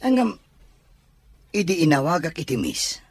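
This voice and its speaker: A man playing a shy, smitten young gentleman in an audio drama, with a husky, breathy voice and a hesitant manner. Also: on the high side, sing-song, a little slow, no echo, exaggerated voice. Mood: bashful, smitten. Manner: hesitant, bashful. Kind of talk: Ilocano audio drama acting.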